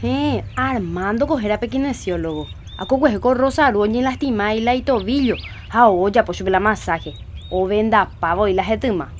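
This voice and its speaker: An actress playing a lively young daughter in a radio drama who also narrates the story. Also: female voice, medium pitch, normal speed, a little hiss or hum, close to an everyday voice.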